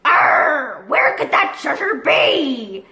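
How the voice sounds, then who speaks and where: A roguish, scheming pirate man, put on by a woman narrator, growling and drawing out his words with bold swagger. The storybook voice is forceful and bright, in a slightly echoey room. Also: high voice, very animated, extremely slow, full character voice. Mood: scheming, gruff. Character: roguish one-legged male pirate cook, bold and scheming.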